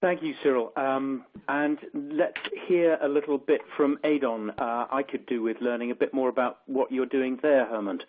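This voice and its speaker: Male moderator, mid pitch, tinny phone-line sound, measured and orderly. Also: fairly even intonation. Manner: measured, structured hand-offs to panelists. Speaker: panel moderator. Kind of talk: webinar panel moderation.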